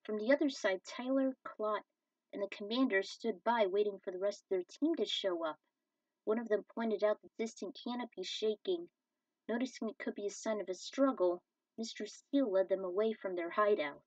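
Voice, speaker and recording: A woman's voice: mid-range voice, normal speed, darkish tone, no room sound. A woman narrating a scripted story as a fan fiction reader. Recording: dull, distant microphone.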